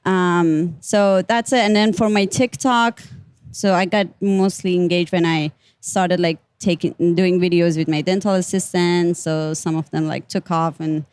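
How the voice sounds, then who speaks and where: A woman with an Iranian accent talks as a guest on a casual podcast, her voice lowish and smooth. She chats in a loose, friendly way, full of fillers and small laughs. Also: measured pace, dry recording. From Iran. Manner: relaxed, chatty, many fillers and little laughs. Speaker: guest, pediatric dentist.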